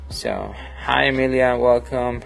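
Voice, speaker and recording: A Colombian man, Spanish his first language, talks slowly with a low, gravelly voice. He rambles in a friendly way, full of fillers, as he edits at his desk. Soft music plays in the background. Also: completely flat delivery. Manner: rambling and friendly, many fillers, reads chat aloud. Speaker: photographer hosting a live editing stream. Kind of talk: casual live-stream chat while editing.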